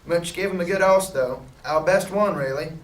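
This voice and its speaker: Casting: man as meek fantasy stableman, fairly high male voice, halting and deferential, distant from mic. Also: close to an everyday voice. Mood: rambling. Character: timid, hesitant, deferential stableman. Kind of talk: fantasy audio drama acting. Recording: echoey, off the mic.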